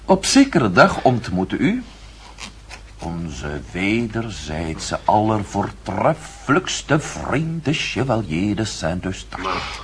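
A man's voice: lowish voice, sing-song, slow pace, slight background noise. An actor playing a mocking, self-assured nobleman in a period radio drama, speaking with ornate, icy politeness. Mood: accusing, controlled.

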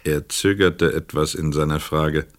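A man reading scripted literary narration for a radio play in a measured, precise storytelling manner. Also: a bass voice, steady tone, no echo, studio quality.

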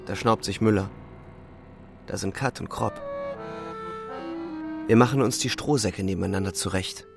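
An actor playing a young German soldier who narrates a radio play inwardly, weary, anxious and guilt-ridden. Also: male voice, fairly low pitch, normal speed, creaky, over music. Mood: bleak, weary.

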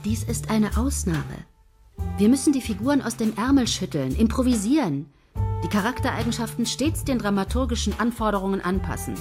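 Actress as a bossy, patronizing head writer. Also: female voice, mid-range voice, expressive, over music, natural voice. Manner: brisk, persuasive and patronizing. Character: confident, manipulative female head writer. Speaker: head of a soap writing team. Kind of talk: radio play dialogue.

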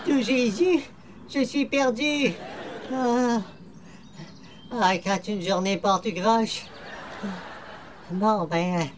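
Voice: medium pitch, slight background noise, natural voice. Personne jouant un dévot malchanceux, plaintif et formel. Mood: despairing. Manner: formal, plaintive, theatrical. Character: hapless, weary, pious churchgoer with formal old-fashioned speech. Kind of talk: old-time radio comedy acting.

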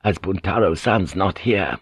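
A man voicing a deferential, nervous male secretary in an audiobook, confiding in a hushed, hesitant, respectful way. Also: sits low, expressive, unhurried, husky, no echo, heavily acted. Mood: confiding, worried. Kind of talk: audiobook character dialogue.

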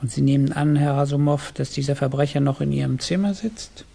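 An actor playing a courteous but coldly menacing Tsarist police chief in a German radio play, formal, probing and gravelly. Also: male voice, medium pitch, fairly quick, mellow.

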